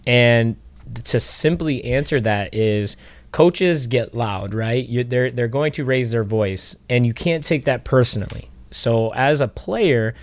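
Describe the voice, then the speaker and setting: Loud, rambling man on a podcast. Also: medium pitch, a little slow, no echo. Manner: loud, rambling with many fillers and restarts. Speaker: podcast co-host, basketball skills trainer. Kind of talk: casual podcast chat.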